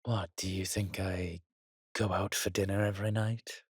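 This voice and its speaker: An actor playing a weary, wry, courtly vampire in a roleplay audio drama, speaking haltingly to the listener in a refined, slightly pompous manner. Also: male voice, low-pitched, little pitch movement, husky, no room sound, clean studio sound. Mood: weary, reflective. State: weakened, recovering.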